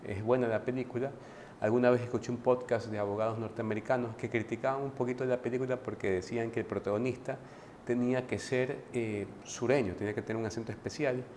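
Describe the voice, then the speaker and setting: A man giving a casual, conversational book commentary to his viewers, often pausing to restart and adding tag questions. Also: medium pitch, fairly even intonation, darkish tone, a little hiss or hum. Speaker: book reviewer.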